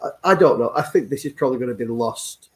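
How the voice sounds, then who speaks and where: A man's voice: medium pitch, fast, darkish tone. A man on a fan panel, teasing a co-host and laughing, with many hesitations. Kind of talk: casual panel chat.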